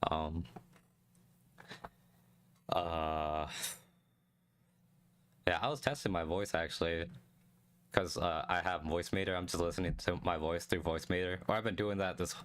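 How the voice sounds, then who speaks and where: Deep-voiced young man, rambling calm chat. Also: smooth, dry recording. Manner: rambling, halting, many fillers and restarts; low-energy calm voice. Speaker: streamer. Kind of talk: casual solo chat stream. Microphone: close desk mic.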